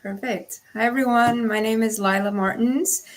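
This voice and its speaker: A woman's voice: on the high side, fairly even intonation, normal speed. A woman from Brazil who grew up in the United States, speaking as a panelist. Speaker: panelist, sustainability professional. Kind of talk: panel self-introduction.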